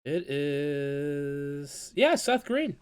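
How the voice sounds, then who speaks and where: A man's voice: on the high side, very animated, extremely slow, darkish tone, clear, resonant tone. A man hosting a casual podcast chat, still congested and stuffy-nosed from being sick, upbeat and steering the questions. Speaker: podcast host.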